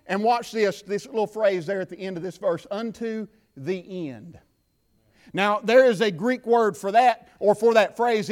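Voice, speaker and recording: High-voiced Southern preacher, fervent. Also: male voice. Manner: fervent preaching, builds to shouts then drops quiet. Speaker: pastor. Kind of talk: sermon. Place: church.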